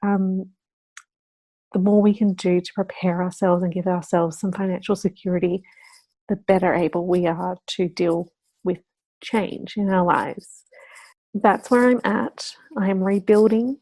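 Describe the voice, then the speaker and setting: Female vlogger, slightly croaky and warm, friendly and upbeat, dry close sound. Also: mid-range voice, steady tone, unhurried, no room sound, poor audio. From Australia. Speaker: budgeting channel host. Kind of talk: casual talk to camera.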